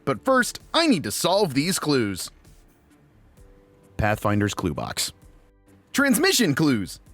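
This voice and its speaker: A man's voice: fairly high pitch, wildly swooping pitch, slow, fairly bright tone, no echo, faint music behind, heavily acted. A man voicing a cheerful, naive, friendly robot who narrates an audiobook in an earnest, guileless way. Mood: hopeful.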